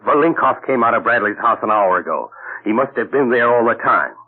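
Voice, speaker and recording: A man's voice: medium pitch, expressive, fairly quick, natural voice. An actor playing a brash, tough male sidekick who reports bluntly and breathlessly, in an old radio drama heard as a tinny broadcast. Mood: excited. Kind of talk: 1940s radio acting.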